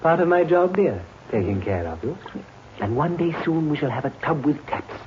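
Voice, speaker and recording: An actor playing a falsely tender, solicitous scheming husband in a 1950s radio drama, with a coaxing, attentive manner. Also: male voice, on the high side, animated, normal speed, natural voice. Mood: tenderly doting. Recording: dull, noisy old radio recording.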